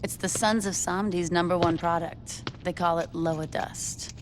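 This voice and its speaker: An actress playing a laid-back, wisecracking young woman gang member in a video game, casual and deadpan. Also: lowish voice, a little slow, slight background noise, close to an everyday voice.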